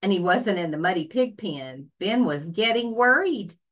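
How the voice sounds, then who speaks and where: A woman reading a children's story aloud as a librarian, expressive and deliberate. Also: medium pitch.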